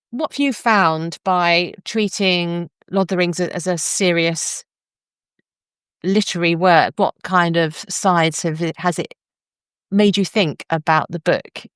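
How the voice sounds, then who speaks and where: A woman's voice: on the low side, measured pace, clear, resonant tone, dry recording, clean studio sound. A woman hosting a conversational literary interview, articulate and discursive, explaining things for non-specialist listeners. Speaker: podcast host interviewing an academic.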